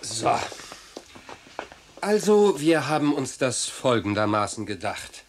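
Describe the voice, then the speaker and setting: An actor playing a calm, patient father in a children's radio play, explaining things soothingly. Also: medium pitch, slow, a little hiss or hum.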